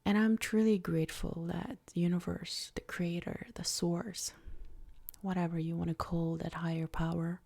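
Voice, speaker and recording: A woman guiding a soft-spoken ASMR meditation in a breathy, near-whispered voice, with gentle, deliberate phrasing. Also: on the low side. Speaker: ASMR creator and meditation guide.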